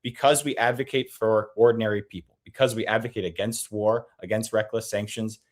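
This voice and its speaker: A man from the United States, a guest on a political interview, speaking earnestly and quickly with many restarts and small nervous laughs over a video call. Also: lowish voice, fairly even intonation. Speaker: advocacy group guest.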